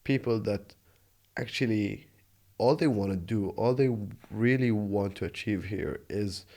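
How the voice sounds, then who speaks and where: A man's voice: fairly low pitch, unhurried, warm tone. A man in his twenties from Jordan, telling his story in an earnest, reflective way with many restarts. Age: 20s. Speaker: interview guest. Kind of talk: casual conversational interview. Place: Australia.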